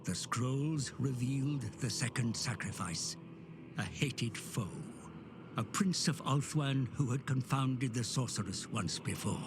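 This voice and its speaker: A man giving grave, scripted narration for game cutscenes, slow and measured, dropping at times to a hushed whisper. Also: mid-range voice, noisy background. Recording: studio narration over loud orchestral score.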